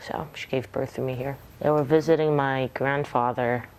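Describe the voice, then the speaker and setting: A woman with an unusually deep voice recalls her childhood in an oral history interview. She speaks in short, factual statements. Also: steady tone, normal speed, a little mellow, smooth. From Taiwan.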